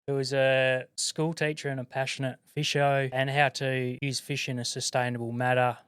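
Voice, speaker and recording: A man hosting a casual fishing podcast, introducing and questioning his guest in a steady, easygoing way. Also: medium pitch, normal speed, smooth, dry recording. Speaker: podcast host.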